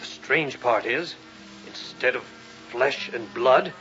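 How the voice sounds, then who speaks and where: Bright, exacting radio-drama researcher. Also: male voice, medium pitch, slow, soft background music. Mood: analytical. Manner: precise, formal. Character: businesslike male museum financier-researcher, insistent, exacting. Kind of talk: old-time radio drama acting. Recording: muffled vintage radio, faint noise.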